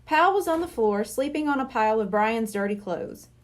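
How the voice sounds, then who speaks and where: A woman reading a children's book aloud in an expressive narrating style. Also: a little high, normal speed. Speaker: storybook reader.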